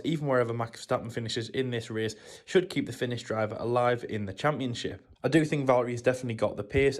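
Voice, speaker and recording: A man co-hosting a motorsport show, giving a steady race preview full of "of course". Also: medium pitch. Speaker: co-host.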